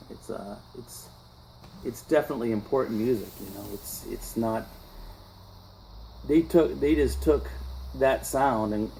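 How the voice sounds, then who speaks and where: A man in his fifties with a mid-range voice, talking as a musician being interviewed on the radio. He speaks casually and reflectively, halting and restarting phrases as he works toward his point. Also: medium pitch, dark tone, a little hiss or hum, low-quality recording. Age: 50s. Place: radio broadcast session.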